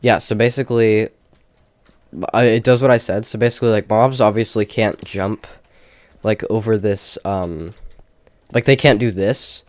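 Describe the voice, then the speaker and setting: A young man with a slightly low voice talks into a desk mic, his nose audibly stuffed up. He rambles casually through a tour of his game world, leaning on fillers and restarts. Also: steady tone, moderate pace, pauses often, no echo. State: stuffy, congested. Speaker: gaming channel host. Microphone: desk mic with face cam.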